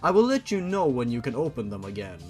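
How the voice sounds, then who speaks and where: Protective tendrilled father creature, acted by a man, formal and calmly commanding, storybook reading over music. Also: medium pitch, quick pace, lightly acted. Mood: calm, instructive.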